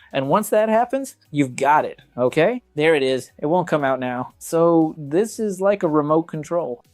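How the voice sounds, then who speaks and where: A man's voice: on the high side, darkish tone. A man presenting a casual hands-on product review, talking conversationally and directly to viewers.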